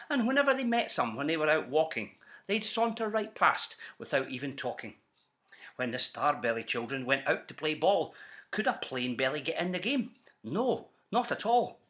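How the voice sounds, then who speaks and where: A Scottish man reading a rhyming children's story aloud in an animated storyteller style. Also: fairly high pitch. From Scotland. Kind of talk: animated storybook narration in rhyme.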